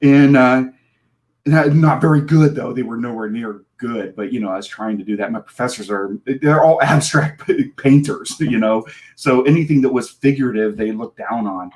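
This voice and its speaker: A man's voice: medium pitch, normal speed, a little mellow. Man in his forties telling a rambling story. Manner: rambling, self-deprecating storyteller who laughs often and acts out quotes. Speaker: comic book creator guest. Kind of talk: casual podcast interview.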